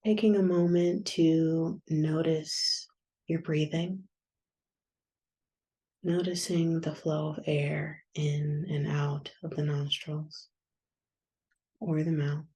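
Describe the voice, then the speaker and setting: A woman guiding a yoga nidra meditation in a soft, soothing, unhurried manner with long pauses. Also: low voice, flat delivery, poor audio. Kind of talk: guided meditation.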